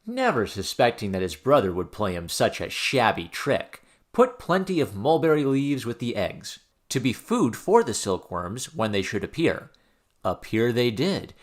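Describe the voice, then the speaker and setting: A man reading a fairy tale aloud as an expressive, theatrical storybook narration. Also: lowish voice. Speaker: storyteller, reader of fairy tales.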